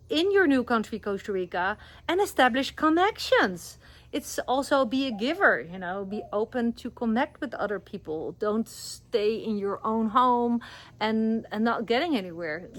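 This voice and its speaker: A woman's voice: a little high, a bit bright. Dutch-accented woman giving earnest advice. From Netherlands. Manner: earnest, encouraging, loose non-native phrasing. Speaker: expat vlogger. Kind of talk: casual advice vlog.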